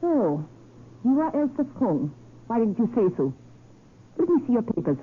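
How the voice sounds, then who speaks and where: An actress playing a stern, suspicious gatekeeper in a 1940s radio drama, speaking in terse, imperious orders. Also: female voice, a little high, very animated, normal speed. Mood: cold, insistent. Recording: tinny, slightly noisy vintage radio.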